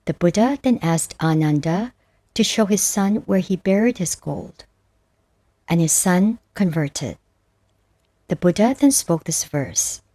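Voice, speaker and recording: A woman narrates scripted spiritual texts at a very unhurried pace. Her voice is fairly low and mellow, with a solemn, measured delivery. The sound is dry and close, free of room reflections. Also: no echo. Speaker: audiobook narrator. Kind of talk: scripted narration of Buddhist verses and stories.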